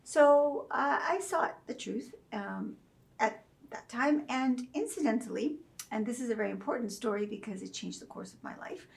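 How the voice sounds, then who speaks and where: A middle-aged woman from Saudi Arabia, a religion professor speaking as an interview guest, telling her story in a measured, thoughtful way with soft fillers. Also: fairly high pitch, animated, gravelly.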